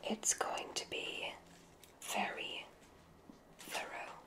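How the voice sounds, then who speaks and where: A woman playing a gentle, reassuring witch examiner in a whispered ASMR medical roleplay, giving careful, soothing instructions in a breathy voice. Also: drawn-out words.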